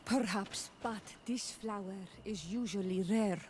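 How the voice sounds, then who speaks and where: A woman's voice: mid-range voice, unhurried, darkish tone, slight background noise, lightly acted. A woman playing a reserved native islander loyal to tradition in a fantasy video game, speaking earnestly and formally. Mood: grave, reserved. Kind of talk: video game dialogue acting.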